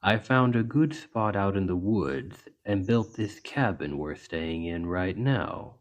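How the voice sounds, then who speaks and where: A man voicing a large, gentle orc healer in an ASMR roleplay, speaking in an earnest, measured and reassuring way. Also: deep voice, animated. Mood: kindly, calm. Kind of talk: ASMR roleplay, soft storytelling to an injured listener.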